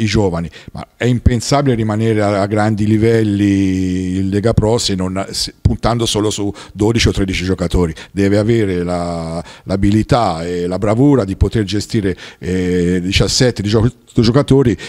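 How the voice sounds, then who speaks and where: A man in his fifties, a guest on a football talk show, giving measured, emphatic opinions into a handheld mic in a studio. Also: on the low side, darkish tone. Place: studio with dark curtain. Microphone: handheld mic held by the host.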